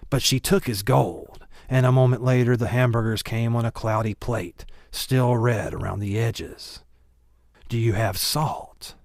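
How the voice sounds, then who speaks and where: A man's voice: medium pitch, steady tone, moderate pace, gravelly, hardly any pauses, a little mellow, no room sound, studio quality. A man narrating a novel as a scripted audiobook reading.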